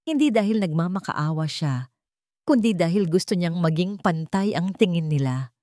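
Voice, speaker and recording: A Filipino-accented woman tells a scripted romantic drama. Her pitch sits a little low and moves in lively swings. The sound is dry, with no room around it. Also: moderate pace, dry recording. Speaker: storyteller.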